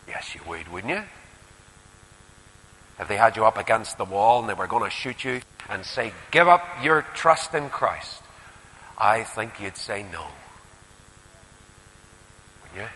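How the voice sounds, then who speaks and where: A male preacher with a Northern Irish accent delivers a sermon in a big reverberant hall. His voice is bright and forceful, ranging widely from emphatic peaks down to quieter asides. He often turns to rhetorical questions. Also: fairly low pitch, lively intonation, unhurried, long pauses. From Northern Ireland. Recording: echoey hall with long reverb.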